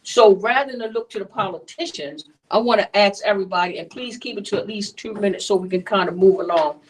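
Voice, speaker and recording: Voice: medium pitch, a little fast, slight background noise. A moderator running a community panel, conversational and direct, asking the panel questions, picked up from a distance. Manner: conversational, asks questions, keeps things moving.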